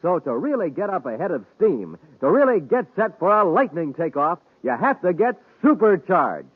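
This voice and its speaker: American male announcer, fifties radio style: high-pitched, swooping, dramatic narration and enthusiastic pitches. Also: sing-song, normal speed, breathy edge, narrow, tinny audio. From United States. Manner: dramatic, hard-sell enthusiasm. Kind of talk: 1950s radio announcing, scripted narration and ads. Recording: thin, hissy 1950s radio recording.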